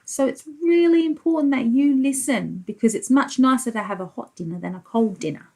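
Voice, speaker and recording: A woman's voice: fairly high pitch, fairly quick, creaky, darkish tone. A woman preschool teacher reading a picture book aloud to young children, explaining things and asking simple leading questions.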